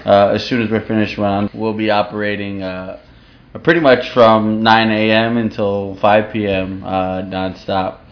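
A young man, a surgical resident, answering interview questions in a halting, laid-back way and pausing often mid-sentence. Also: fairly low pitch, level tone. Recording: dull lo-fi sound with faint background noise.

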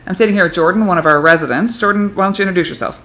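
Fast-talking, slightly high-voiced male host. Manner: upbeat, friendly and chatty. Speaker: podcast host interviewing mission team. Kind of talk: casual podcast interview. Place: hospital on a surgical mission. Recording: dull lo-fi recording.

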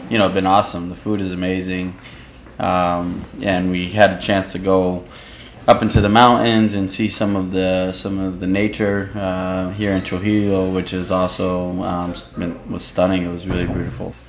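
A young man with a very low, flat voice answers questions about his hospital work. His speech is halting and laid-back, with frequent pauses, and a faint hum sits behind a dull, lo-fi recording. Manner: halting and laid-back, pausing often mid-sentence. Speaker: surgical resident. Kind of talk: interview answers. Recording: dull lo-fi sound with faint background noise.

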